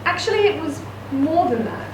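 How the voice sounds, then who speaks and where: A woman's voice: sits high, lively intonation, normal speed, pitched above the performer's own voice. A woman voicing a Hong Kong Chinese woman, an old friend, who speaks casually and hesitates at times. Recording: echoey room, distant mic, noisy.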